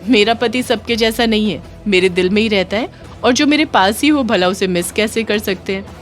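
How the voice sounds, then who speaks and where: A woman's voice: medium pitch, moderate pace, faint music behind, close to an everyday voice. A woman voicing a brave, devoted young soldier wife in an earnest, heartfelt audio story melodrama. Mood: resolute, devoted.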